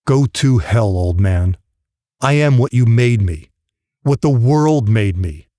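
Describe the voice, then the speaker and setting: A man's voice: mid-range voice, animated, slow, mellow, dry recording, clean studio sound. A man voicing a resentful, vengeful man of nearly sixty in an audiobook monologue, defiant and self-justifying. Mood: bitter defiance.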